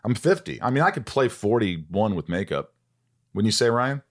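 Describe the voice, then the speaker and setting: A man in his late forties hosting a podcast, friendly, expressive and jokey. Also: fairly low pitch, normal speed. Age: 40s. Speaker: podcast host. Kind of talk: casual interview chat.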